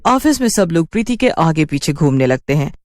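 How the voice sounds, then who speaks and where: A woman's voice: low voice, very animated, fairly quick, a little mellow, dry recording, clean studio sound. A woman telling a scripted cartoon story as its narrator.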